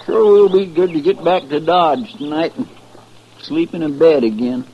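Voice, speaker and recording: An actor playing a young, plaintive cowboy sidekick with a rural western American drawl in an old-time radio drama. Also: male voice, on the high side, moderate pace, close to an everyday voice. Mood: hungry, grumbling. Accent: rural American West. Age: young adult. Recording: muffled, noisy old radio recording.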